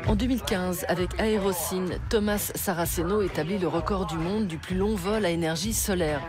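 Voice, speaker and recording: A woman's voice: fairly low pitch, normal speed. A woman reading scripted documentary narration for a culture magazine, with a wry, playful touch. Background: music under the voice.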